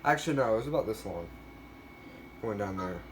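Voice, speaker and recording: A man's voice: on the low side, animated, quick pace. Casual guy vlogging, noisy recording. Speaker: collector showing a weather radio collection. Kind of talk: casual unscripted show-and-tell.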